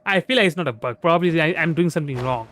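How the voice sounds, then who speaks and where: A man's voice: fairly high pitch, expressive, racing, no echo. A young man from India doing casual live game commentary, musing aloud and repeating himself. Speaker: VTuber game streamer. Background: soft background music.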